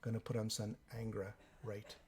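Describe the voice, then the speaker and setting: Hoarse man hosting a live stream. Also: lowish voice, completely flat delivery, darkish tone, a little hiss or hum. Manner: halting, thinking aloud, many fillers. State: hoarse from a cold. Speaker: livestream host. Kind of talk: live stream reading viewer comments.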